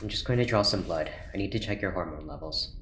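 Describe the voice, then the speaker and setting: An actor playing an earnest, worried scientist doctor in an audio drama, with a careful, clinical manner. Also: male voice, low-pitched, fast talker, natural voice. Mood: guarded, worried. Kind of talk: naturalistic audio drama acting. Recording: roomy, echoing, faint background noise.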